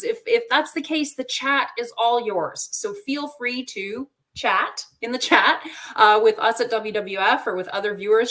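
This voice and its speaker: A woman's voice: medium pitch, fairly bright tone. Woman, webinar host, upbeat, chatty, friendly, video call mic. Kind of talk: webinar hosting.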